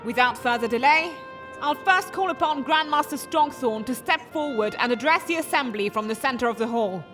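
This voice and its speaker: Woman acting as a high-voiced, ceremonious small ambassador. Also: animated. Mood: formal. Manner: measured, ceremonial. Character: formal small-statured female ambassador addressing a council. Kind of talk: fantasy audio drama acting. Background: music.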